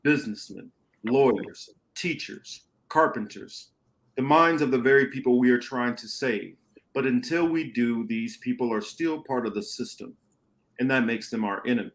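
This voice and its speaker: A man plays a commanding mentor of a rebel band in a radio play. He speaks gravely and with deliberate emphasis, pausing for drama, in a medium-pitched male voice. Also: natural voice. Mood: grave, instructive. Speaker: podcast host reading a radio play. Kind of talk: amateur radio play reading.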